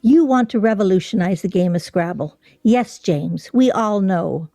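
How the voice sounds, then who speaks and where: A woman's voice: mid-range voice, lively intonation, moderate pace, dark tone, no room sound, clean studio sound, soft background music, natural voice. An actress in a radio play playing an insistent, questioning woman nearing fifty who prods with emphatic delivery. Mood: impatient.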